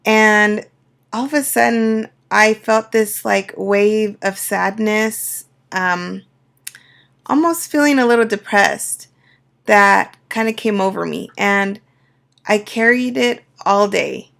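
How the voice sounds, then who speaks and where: A woman coach speaking reflectively to her viewers, her voice a little raspy from a cold. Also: mid-range voice. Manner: soft, unhurried and reflective, with many fillers. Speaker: astrology and life coach. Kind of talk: personal talk to camera.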